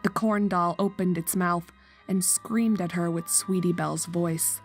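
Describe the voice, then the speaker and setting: A woman's voice: fairly low pitch, steady tone, a little slow, loud music behind the voice. A woman narrator reading a scripted horror story.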